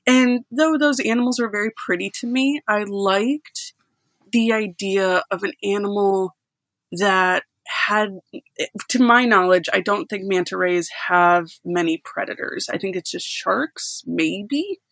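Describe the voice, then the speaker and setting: Animated, laughing woman sound editor. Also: medium pitch, a little slow, dry recording. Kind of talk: casual chat.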